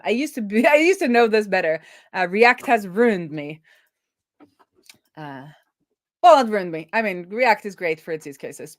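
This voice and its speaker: A woman thinking aloud in a chatty, casual way as she live-streams a coding session. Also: fairly low pitch. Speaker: streamer and web developer.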